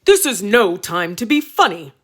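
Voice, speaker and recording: A woman's voice: medium pitch, very animated, moderate pace, a bit bright. Woman acting out a stern, blustering storybook king. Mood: stern, indignant. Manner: emphatic, roaring. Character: stern, blustering king, father scolding his son. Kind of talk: children's storybook read-aloud.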